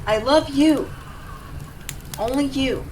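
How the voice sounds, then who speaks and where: A young woman voice actor playing a gruff but devoted tsundere rescuer in an intimate, emotional audio roleplay. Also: sits high, slow, a little mellow. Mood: exasperated, loving. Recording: distant mic, clear background noise ambience.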